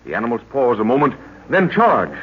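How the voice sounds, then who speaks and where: An actor narrating a jungle mystery serial in a dramatic, declamatory old-time radio style. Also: male voice, medium pitch, huge pitch swings, a little fast, background noise. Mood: dramatic, suspenseful. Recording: tinny, narrow-band old radio recording.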